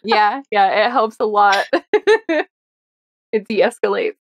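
A woman's voice: mid-range voice, expressive, takes it slowly, slight background noise. A chatty woman voice actor talking casually on an online panel, peppering her sentences with "like". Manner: chatty, frequent "like". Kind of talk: casual live panel chat. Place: online voice call.

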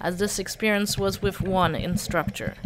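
A woman narrating a scripted vlog voice-over in a measured, slightly stiff reading manner. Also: mid-range voice, fairly even intonation, background noise. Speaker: vlogger. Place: voice-over, not recorded underwater.

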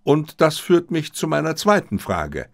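An earnest, questioning gentleman companion , acted out by a male audiobook reader. He sounds sceptical and probing, with lively intonation and a gravelly creak. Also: fairly high pitch, measured pace, voice pushed up, exaggerated voice. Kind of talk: audiobook character dialogue.

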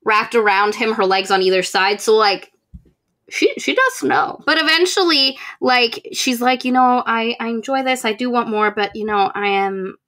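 A young woman podcast host casually retelling a book in a giggly, animated way, acting out bits of dialogue. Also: fairly high pitch, normal speed. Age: young adult. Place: indoors, room with bookshelves.

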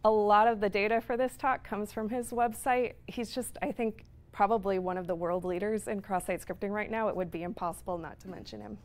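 A woman's voice: mid-range voice, fairly even intonation, a little fast, few pauses. A woman in her thirties giving a technical talk in a lecture room, explaining at length with frequent fillers. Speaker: conference speaker. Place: lecture room with projector screen.

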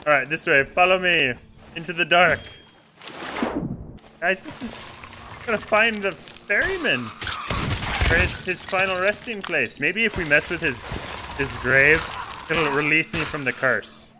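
A man's voice: on the high side, expressive, measured pace, pauses often. A man in casual multiplayer game chat, playfully and theatrically role-playing as a pirate captain. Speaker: gaming streamer playing the ship's captain. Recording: game sounds and noise behind voice. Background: video game sounds.

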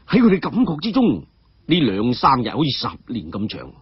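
Animated man telling stories. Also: medium pitch, normal speed, breathy edge, highs cut off. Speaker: storyteller. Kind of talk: dramatic story narration.

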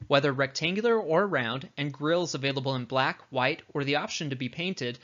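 Male instructor, fairly high voice, scripted tutorial narration, conversational explanatory delivery, slightly dull lo-fi recording. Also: a bit bright. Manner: explanatory, conversational rhetorical questions. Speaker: instructor narrating a product training video.

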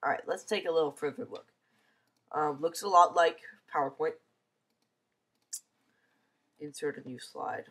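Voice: medium pitch, a little slow, pauses often, fairly bright tone, breathy edge. A teenager with a stuffy, congested nose from a cold gives a casual, hesitant screencast review, full of ums, over a computer mic. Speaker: software reviewer.

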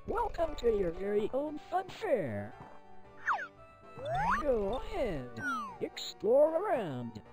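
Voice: mid-range voice, huge pitch swings, slow, long pauses. An eager pet axolotl. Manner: eager, fawning, sing-song synthetic delivery. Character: cheerful pet axolotl desktop assistant, male. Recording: computer game audio with music behind. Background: game music.

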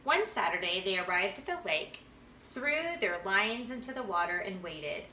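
Bright-voiced woman reading aloud, roomy. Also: medium pitch, expressive, moderate pace. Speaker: storytime reader. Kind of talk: prayer and storybook reading aloud. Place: living room, indoors. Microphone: camera a few feet away. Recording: roomy home recording, faint background noise.

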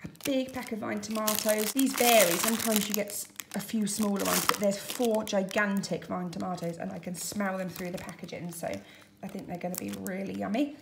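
A woman's voice: mid-range voice, fairly even intonation, fairly quick, vocal fry. A young woman, chatting casually at home as she shows off her grocery shopping item by item. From United Kingdom. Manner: chatty and homely, lists items. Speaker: vlogger showing a grocery haul. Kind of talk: casual chat to camera. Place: home dining room, indoors. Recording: echoey room, faint hiss.

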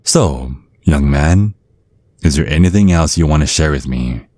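Man acting a very deep, menacing vampire father. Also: animated, a little mellow, no echo, heavily acted. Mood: quietly threatening. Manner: measured, politely threatening, formal. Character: menacing, courtly vampire father, centuries old, protective. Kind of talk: ASMR roleplay acting.

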